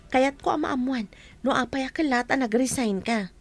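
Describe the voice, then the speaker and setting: Woman acting a high-voiced, frank Ilocano friend. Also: moderate pace, no room sound, faint music behind. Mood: curious. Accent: Ilocano Filipino. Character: inquisitive, frank female friend. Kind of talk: Ilocano radio drama acting.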